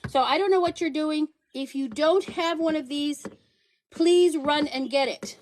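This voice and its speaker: Woman host, high voice, sassy emphatic delivery, playful accent switches; casual phone livestream. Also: measured pace, a little mellow. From Paraguay. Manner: sassy, emphatic, pleading and teasing the viewers. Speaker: livestream host promoting products.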